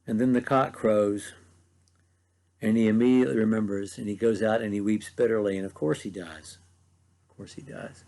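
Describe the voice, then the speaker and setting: A man's voice: fairly low pitch, fairly even intonation, normal speed, gravelly, warm tone. A man with an Ohio Valley accent giving a short devotional Bible teaching in an earnest, conversational way, with frequent ums. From mid-Ohio Valley, USA. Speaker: preacher.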